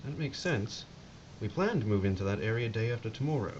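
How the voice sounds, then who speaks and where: An actor playing a calm, cautious American army captain with a measured, reassuring manner in an audio drama. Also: male voice, lowish voice, close to an everyday voice. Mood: cautious, thoughtful. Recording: slightly muffled, noisy ambient backdrop.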